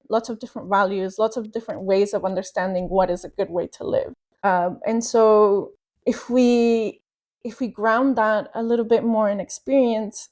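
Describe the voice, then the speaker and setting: A woman's voice: mid-range voice, dark tone. Woman from Venezuela, podcast guest, reflective and searching, mid-range voice. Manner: thoughtful, many fillers and restarts. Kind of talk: reflective interview answer.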